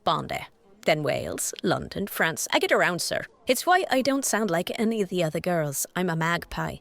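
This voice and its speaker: Casting: Victorian East End working woman, lowish pitch, coaxing, colloquial, streetwise, eager to please. Audio drama. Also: very animated, normal speed, creaky, fairly bright tone, no echo, heavily acted. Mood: coaxing, chatty.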